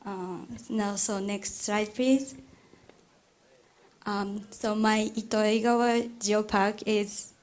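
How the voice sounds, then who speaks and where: A young woman from Japan presents her geopark in a soft, careful way. She talks slowly and evenly over a video call. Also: mid-range voice, fairly even intonation. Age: 20s. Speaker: student presenter. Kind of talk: slide presentation.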